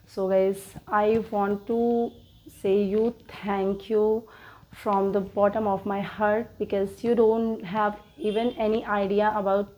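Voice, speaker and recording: A woman from India, native in Hindi, with an Indian accent, hosting her own English-learning channel and talking slowly in learner's English, full of fillers and restarts. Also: mid-range voice, a little mellow, low-quality recording. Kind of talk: casual motivational talk to viewers.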